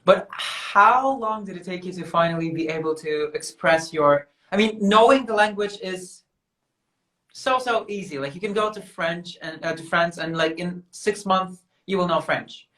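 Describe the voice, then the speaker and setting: A man's voice: sits high, normal speed, pushed and bright. Man on a roomy phone line. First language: Russian. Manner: curious, asks follow-up questions. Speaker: host of a live stream. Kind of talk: casual interview chat.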